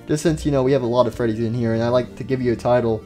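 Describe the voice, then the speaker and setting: Man playing a brisk, bossy animatronic puppet. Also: medium pitch, steady tone, dark tone, soft background music, voice pushed down, close to an everyday voice. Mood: dry, exasperated.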